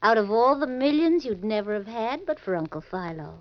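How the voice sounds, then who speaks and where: An actress playing a young, sweetly sly accomplice, coaxing and mock-innocent, in an old-time radio crime drama. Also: female voice, on the high side, very animated, a little fast. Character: sweetly sly young niece of a con man. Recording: muffled vintage radio recording.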